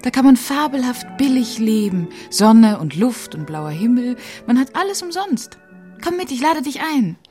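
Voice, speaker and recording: Breezy worldly woman friend, radio play, animated pitch, playful, mocking, music behind. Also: moderate pace. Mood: coaxing.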